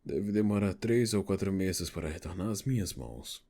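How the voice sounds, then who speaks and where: Man acting an animated, grudging older negotiator. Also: sits low, normal speed. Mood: resigned, explaining. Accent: Brazilian. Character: reluctant, cornered older male negotiator, exasperated. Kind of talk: audio novel character acting.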